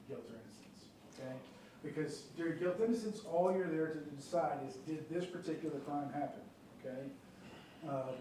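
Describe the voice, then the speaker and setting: A man's voice: fairly high pitch, mellow. A man explaining trial rules to a jury panel in a conversational way, often checking in with "okay?" and "right?". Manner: conversational, many fillers and check-ins like "okay?". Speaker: prosecutor questioning jury panel. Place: large courtroom. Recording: echoey courtroom picked up from far off, light noise.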